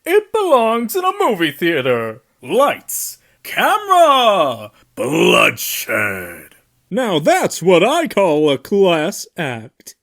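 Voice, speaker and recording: A hammy animatronic showman for a video game. The delivery is grand and vain, swooping wildly in pitch and savoring each phrase slowly, with bloodthirsty glee. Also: huge pitch swings, a bit bright, full character voice. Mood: gleeful, grandiose. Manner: grandiose announcer flourish. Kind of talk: video game character voice lines.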